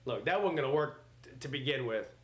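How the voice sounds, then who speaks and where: A man's voice: medium pitch, lively intonation, brisk. A man in his forties hosting a live sports watch party, mixing casual chat with play-by-play in a blunt, joking, rambling way. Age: 40s.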